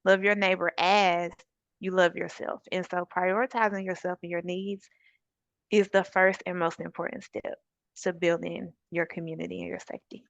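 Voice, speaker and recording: A woman's voice: on the low side, little pitch movement, normal speed, vocal fry, dry recording, muffled recording. A woman who works in suicide prevention, speaking as an interview guest and offering encouraging, practical advice with scripture references.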